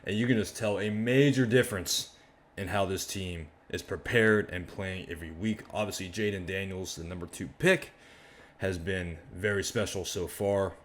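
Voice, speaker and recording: A man from Maryland with a very deep, worn-out hoarse voice, chatting casually and energetically as host of his own fan reaction channel. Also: normal speed. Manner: chatty, rambling, energetic asides.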